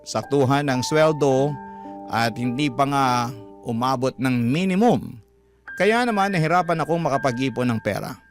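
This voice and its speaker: A man's voice: medium pitch, lively intonation, normal speed, close to an everyday voice. Man acting Filipino confessional storyteller over music. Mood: rueful. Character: struggling young man recounting his troubles. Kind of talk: scripted first-person radio drama narration.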